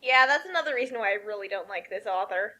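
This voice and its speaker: A woman's voice: medium pitch, animated, fast, pushed and bright. A woman giving casual, critical commentary on a story, with an incredulous, sarcastic manner.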